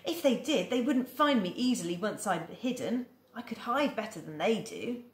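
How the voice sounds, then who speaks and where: A woman voicing a lively, boastful little girl in a storybook reading. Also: normal speed, roomy sound, close to an everyday voice. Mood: eager. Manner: high, bright, excited girlish voice.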